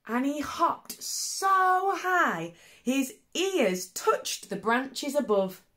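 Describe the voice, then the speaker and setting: Woman, primary teacher, children's storytime, highly varied pitch, slow pace, child-directed questions, expressive. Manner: animated, asks tag questions, child-directed. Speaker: primary school teacher reading to young children. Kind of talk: expressive picture-book storytime with asides to children.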